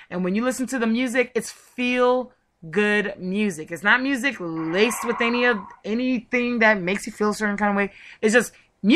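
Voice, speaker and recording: Woman, show host, bright forceful voice, gushing, chatty interview style. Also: on the high side. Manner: effusive, gushing. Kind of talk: chatty promotional interview hosting.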